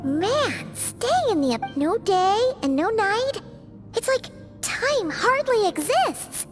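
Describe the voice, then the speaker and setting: A woman voicing a tiny, chirpy, childlike fairy companion in a video game, with a squeaky cartoonish voice. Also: big pitch swings, slow, over loud music, full character voice. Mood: chatty, easygoing. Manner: chirpy, third-person self-reference. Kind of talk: cartoonish game character acting.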